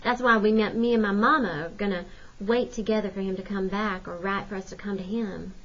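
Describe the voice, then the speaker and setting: A woman's voice: medium pitch, fairly even intonation, fairly quick, a bit bright, lo-fi sound. A woman reading a storybook in the role of a sweet, frank and inquisitive ten-year-old girl. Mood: frank, probing.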